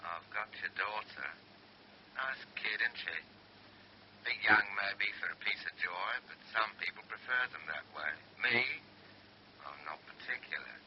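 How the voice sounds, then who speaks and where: A very low, sneering telephone kidnapper. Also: male voice, unhurried. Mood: taunting menace. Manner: taunting, slangy, insinuating. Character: menacing male kidnapper, sneering crook on the telephone. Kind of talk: radio play acting. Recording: dull, hissy radio play, phone voice.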